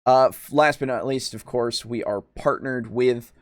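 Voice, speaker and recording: Clear, dry-sounding male livestream host. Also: mid-range voice, measured pace, dry recording. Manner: informal, profane, rambling. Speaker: show host. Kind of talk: casual livestream chat.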